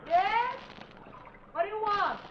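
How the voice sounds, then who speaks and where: A guarded, very high-pitched wife. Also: female voice, expressive, slow, exaggerated voice. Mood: wary, calling out. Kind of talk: old-time radio drama acting. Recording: muffled, noisy old radio broadcast.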